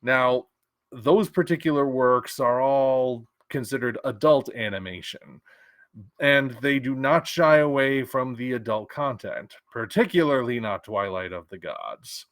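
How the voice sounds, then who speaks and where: Male online commentator, middle pitch, dry close recording, casual musing delivery with restarts. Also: a little slow, a bit bright, dry recording. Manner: musing, thinks aloud with restarts and fillers. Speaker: sci-fi news commentator.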